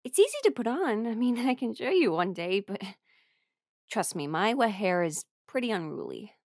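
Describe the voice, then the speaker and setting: A woman's voice: medium pitch, big pitch swings, a little mellow, dry recording. Woman acting playful bandmate, close dry sound. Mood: playful, casual. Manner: casual, halting, playful. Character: friendly, teasing young woman musician, tired bandmate on tour. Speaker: voice actor in audio roleplay. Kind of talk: intimate conversational roleplay acting.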